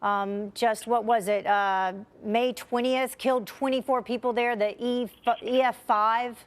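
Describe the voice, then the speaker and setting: A woman's voice: fairly high pitch, steady tone, unhurried. A woman anchoring live breaking news and putting questions to a guest in a broadcast style.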